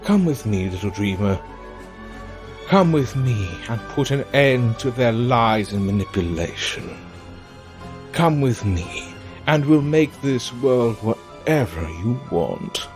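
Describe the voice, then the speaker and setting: A man playing a vengeful, taunting villain in an audio drama, with a mocking, drawn-out theatrical delivery. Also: medium pitch, expressive, darkish tone. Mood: coaxing. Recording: music under the voice.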